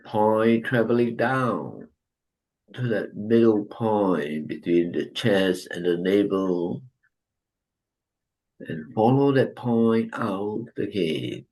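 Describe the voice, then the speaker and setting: A man guides a breathing meditation in a somewhat low voice. He speaks very slowly and calmly, leaving long pauses between short instructions. He gently corrects posture and points attention back to the breath. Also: low-quality recording. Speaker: meditation teacher.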